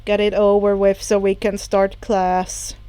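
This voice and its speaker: A woman voicing a tired, dismissive male teacher with a sighing, flat manner. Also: medium pitch, a little slow, smooth, no echo, pitched below the performer's own voice, close to an everyday voice. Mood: weary.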